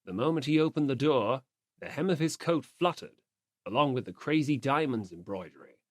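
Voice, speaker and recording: A man reading a story aloud as an audiobook narrator, in expressive scripted narration. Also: mid-range voice, moderate pace, no room sound, studio quality.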